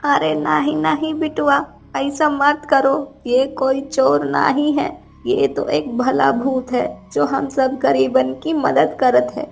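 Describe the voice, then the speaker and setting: A woman's voice: high voice, flat delivery, gravelly, soft background music, exaggerated voice. A woman voicing a poor, plaintive old village mother in a children's cartoon, with a rural north Indian Hindi dialect. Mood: pleading. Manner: slow, plaintive, folksy. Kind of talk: children's cartoon story acting.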